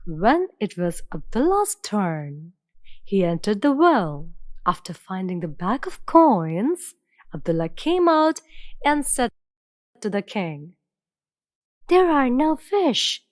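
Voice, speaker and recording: Slow, expressive woman storyteller. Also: medium pitch, no echo, studio quality. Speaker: narrator. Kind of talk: storybook narration.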